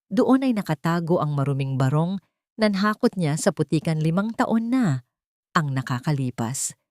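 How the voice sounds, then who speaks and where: A woman's voice: deep voice, lively intonation, moderate pace, darkish tone, dry recording, clean studio sound. A woman narrator reading a scripted dramatic story with suspenseful delivery.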